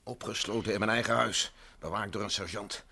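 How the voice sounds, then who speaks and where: An actor playing a stubborn, disgraced general under house arrest in an old radio play, firm and measured. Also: male voice, lowish voice, vocal fry, pushed and bright, slightly rough, heavily acted. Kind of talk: 1960s radio play acting.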